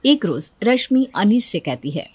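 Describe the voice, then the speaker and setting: A woman's voice: medium pitch, big pitch swings, a little slow, warm tone, husky, no room sound. A woman reading scripted story narration.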